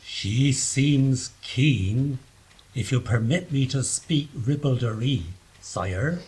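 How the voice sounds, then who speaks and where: A man's voice: mid-range voice, lively intonation, extremely slow, darkish tone. A man playing a dim-witted, chatty castle guard who rambles and bumbles along. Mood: bumbling.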